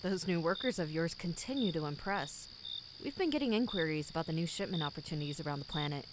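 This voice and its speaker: A woman's voice: deep voice, animated, quick pace, noisy background. A woman playing a cold, condescending company boss in an audio drama, formal, smug and menacing. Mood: smooth, businesslike. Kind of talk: scripted audio drama acting.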